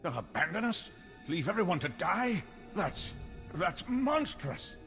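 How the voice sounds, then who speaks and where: An actor playing an anxious civilian official in a video game, earnest and placating in manner. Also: male voice, high-pitched, very animated, unhurried, a bit bright, long reverb tail, soft background music, heavily acted. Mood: horrified. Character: anxious male civilian official, pleading and pragmatic appeaser. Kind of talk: scripted game acting.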